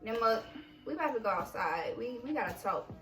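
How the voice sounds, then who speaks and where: A young woman co-hosting a vlog, telling army stories in an animated, profane, laughing way. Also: a little high, fairly quick, roomy sound, far from the mic, soft background music. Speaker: vlog co-host, army veteran. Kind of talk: casual storytelling chat.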